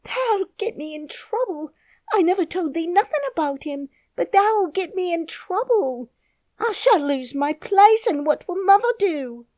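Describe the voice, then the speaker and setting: A woman voicing an excitable young housemaid with a Yorkshire dialect, speaking loud and high. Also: measured pace, no echo. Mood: alarmed. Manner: shrill, loud and agitated.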